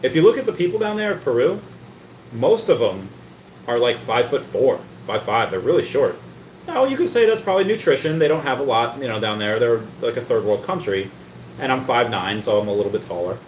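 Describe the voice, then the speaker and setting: A man's voice: mid-range voice, big pitch swings, fairly quick, a little hiss or hum. Rambling man streaming in a roomy space. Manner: stammers and repeats words often; rambling, talkative. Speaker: livestream host reading chat. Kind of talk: casual livestream chat. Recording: roomy echo.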